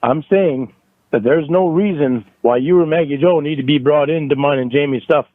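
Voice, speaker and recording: A man arguing over the phone in a halting, defensive way, repeating himself, on a thin line. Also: on the high side, dry recording, narrow, tinny audio. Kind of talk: phone argument. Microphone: phone call.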